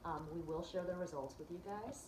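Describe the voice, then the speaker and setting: A woman's voice: fairly low pitch, brisk, roomy sound, background noise. A woman vlogger chatting casually as she clicks through her results, with plenty of ums and restarts. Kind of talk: casual chat, walking through results on screen.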